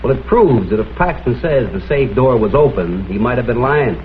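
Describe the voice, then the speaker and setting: An actor playing a shrewd, persistent crime photographer in a vintage detective drama, questioning politely but insistently. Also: male voice, medium pitch, animated, normal speed, a little hiss or hum, lightly acted. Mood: reasoning. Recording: muffled phone-like old soundtrack, music behind.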